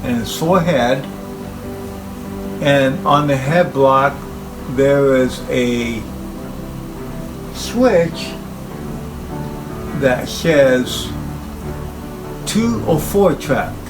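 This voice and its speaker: A man giving an informal, halting talk about equipment as a hobbyist presenter, speaking in short phrases. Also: mid-range voice, animated, over music, low-quality recording. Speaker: hobbyist presenter showing his tape machines.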